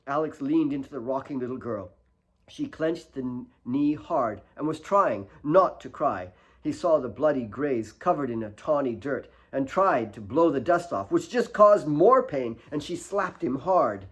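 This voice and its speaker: Animated man reading fiction. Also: medium pitch, unhurried, breathy edge. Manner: expressive, storytelling. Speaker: author reading own fiction. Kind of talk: dramatic fiction narration.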